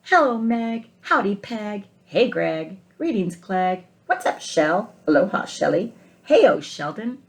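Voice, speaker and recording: A woman voicing a kindly, earnest male egg in an expressive children's storybook read-aloud, with emphatic, deliberate phrasing. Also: medium pitch. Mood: joyful greeting.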